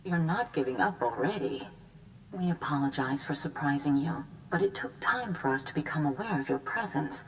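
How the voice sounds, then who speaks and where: Serene insect-hive envoy, deep female voice, ceremonious, stately, video game dialogue, faint noise. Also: expressive, normal speed, rough recording. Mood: welcoming. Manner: formal, stately, speaks as we. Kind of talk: scripted video game voice acting.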